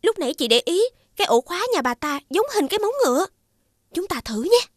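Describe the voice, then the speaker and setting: A woman playing a caring, sensible young elder sister, speaking politely and gently in a scripted children's fairy tale. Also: very high-pitched, very animated, a little fast, a little hiss or hum. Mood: determined.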